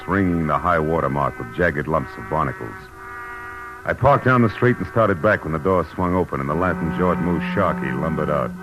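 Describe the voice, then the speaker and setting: A very low-pitched, tough American private eye in an old radio mystery. He is world-weary and sardonic, wry and clipped, with music playing behind him. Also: poor audio. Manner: wry, clipped, slangy delivery. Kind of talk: 1940s-50s radio detective drama, first-person narration.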